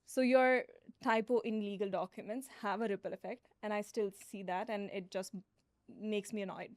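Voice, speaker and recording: A woman's voice: mid-range voice, fairly even intonation, moderate pace, no room sound, clean studio sound. A woman founder as a podcast guest, explaining steadily with frequent fillers. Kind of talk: conversational interview.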